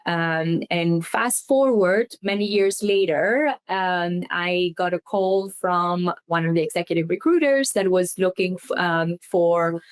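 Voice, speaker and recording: A woman's voice: fairly low pitch, a little slow, hardly any pauses, clean tone. A woman from Albania, a foundation investment head, answering interview questions thoughtfully with frequent ums. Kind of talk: interview guest.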